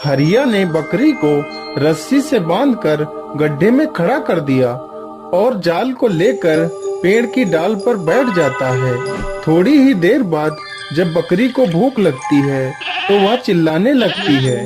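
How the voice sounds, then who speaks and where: A man's voice: high-pitched, big pitch swings, slow. A man narrating a scripted children's cartoon story as the storyteller. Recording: music bed behind the voice.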